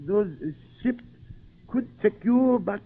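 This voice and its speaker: A man's voice: very high-pitched, slow, exaggerated voice. A man playing a humble, devoted islander servant in an old-time radio drama, speaking simple broken English with words dropped. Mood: gentle, hopeful. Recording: tinny narrow-band old radio recording.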